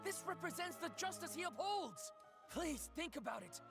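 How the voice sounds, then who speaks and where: Indignant defender in a game trial, very high male voice, imploring, music behind. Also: expressive, moderate pace, gravelly, heavily acted. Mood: earnest pleading. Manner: urgent, imploring. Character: earnest, indignant male courtroom supporter of the accused. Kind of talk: scripted video game voice acting. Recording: game audio under music. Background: prominent music.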